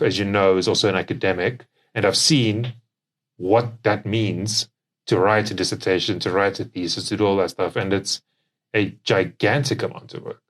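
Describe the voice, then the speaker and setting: A young adult man with a South African accent, chatting casually as a company co-founder on a podcast. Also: lowish voice. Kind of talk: casual conversational podcast chat.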